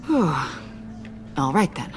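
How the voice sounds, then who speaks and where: An actress playing an earnest, conflicted government agent in a video game, speaking in a measured, candid and slightly formal way. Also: female voice, sits low, very animated, a little mellow, a little hiss or hum, heavily acted. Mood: uneasy, candid. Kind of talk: naturalistic video game dialogue.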